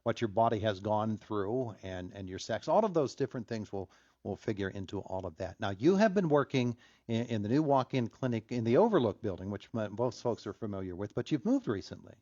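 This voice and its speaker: A man's voice: lowish voice, big pitch swings, a little fast, a little mellow, no room sound, dull audio. A man hosting a local morning radio interview, chatting easily and affirming his guest often. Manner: conversational, frequent affirming murmurs. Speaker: radio host.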